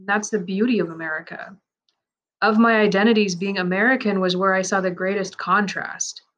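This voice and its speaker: A woman narrating a man's first-person story aloud, in a measured, reflective and earnest way. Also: medium pitch, fairly even intonation, fairly bright tone.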